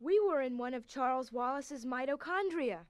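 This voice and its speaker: A woman's voice: high-pitched, animated, moderate pace. A woman playing an anxious, earnest schoolgirl heroine in an audio drama, speaking with open emotion. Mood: relieved. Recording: echoing hall reverb.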